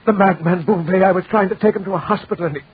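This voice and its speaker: An actor playing a wounded, desperate doctor, weak and in pain, in a 1940s radio drama. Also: male voice, high voice, very fast, husky. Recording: muffled scratchy 1940s radio recording.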